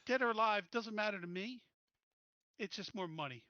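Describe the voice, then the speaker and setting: A man's voice: sits high, normal speed, no room sound, dull audio, pitched above the performer's own voice, lightly acted. A man voicing a tough, mercenary squad leader in a tabletop game, blunt and threatening.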